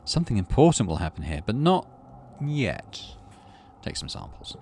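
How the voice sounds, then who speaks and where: A man's voice: fairly low pitch, very animated, moderate pace, warm tone, a little hiss or hum. A man, middle-aged, doing playthrough commentary and reading game text aloud expressively. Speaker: gaming channel host.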